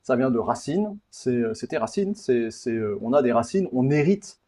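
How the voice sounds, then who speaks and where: A man's voice: mid-range voice, lively intonation, normal speed, warm tone. A man from Paris, hosting a casual interview in an informal, blunt, digressive way and often repeating words. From Paris, France. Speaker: podcast host, interviewer.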